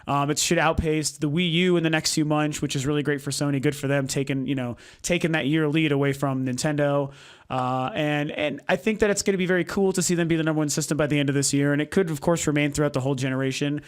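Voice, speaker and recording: A hoarse young man from the United States who is losing his voice, hosting a casual, rambling video game vlog with lots of ums and uhs. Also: on the high side, steady tone, fast talker. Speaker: video game vlogger, channel host. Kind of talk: casual opinionated vlog talk. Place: home recording setup.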